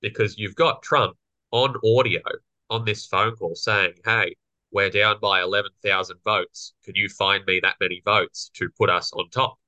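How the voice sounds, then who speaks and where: A young man with a slightly croaky voice, co-hosting a casual political podcast and explaining legal points. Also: lowish voice, steady tone, normal speed, bright, forceful tone, no room sound, rough recording. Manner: explanatory, lawyerly. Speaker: podcast co-host.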